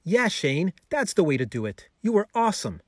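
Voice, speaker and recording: Man playing an enthusiastic schoolboy, animated. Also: high voice, normal speed, slightly rough, dry recording, clean studio sound, voice pushed up, exaggerated voice. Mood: cheerful. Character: cheerful, encouraging schoolboy, fond of his dog.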